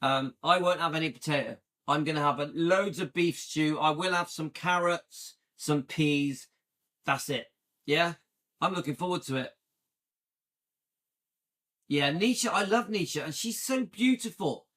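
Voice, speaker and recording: Upbeat bright-voiced man in his fifties. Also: on the high side, measured pace. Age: 50s. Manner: chatty, enthusiastic, often asks "yeah?". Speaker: livestream host. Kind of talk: casual livestream chat with viewers.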